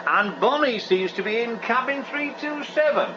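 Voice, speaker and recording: An actor playing a jovial, flirtatious, roguish professor in a radio comedy drama, chuckling, hearty and patronising. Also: male voice, very high-pitched, big pitch swings, normal speed. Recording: noisy, dull 1980s radio broadcast recording.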